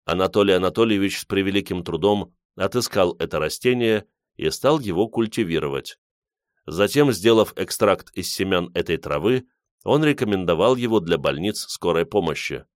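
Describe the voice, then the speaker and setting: A man's voice: low-pitched, lively intonation, moderate pace, dry recording, clean studio sound. A man narrating a literary story in the first person, reading from a script as an audiobook narrator.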